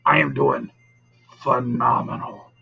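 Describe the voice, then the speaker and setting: A man with a gravelly, raspy voice hosting a live storytelling show, chatting casually with viewers in a drawn-out, theatrical, teasing way. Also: medium pitch, level tone. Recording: dull lo-fi audio, music behind. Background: music.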